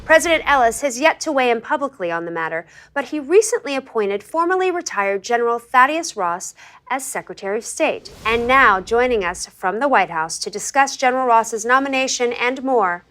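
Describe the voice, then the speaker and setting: An actress playing a poised, brisk TV news anchor with a formal, measured, polished delivery. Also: female voice, a little high, lively intonation, a bit bright. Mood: courteous. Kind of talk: scripted TV news broadcast.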